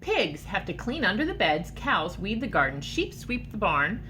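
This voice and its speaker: A woman reads a children's picture book aloud in a bright, lively voice that swings widely in pitch. Her delivery is expressive and engaging, in the manner of a teacher speaking to young listeners. Faint noise sits behind her. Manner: expressive, pausing to ask listeners questions. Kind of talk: animated storybook read-aloud for children.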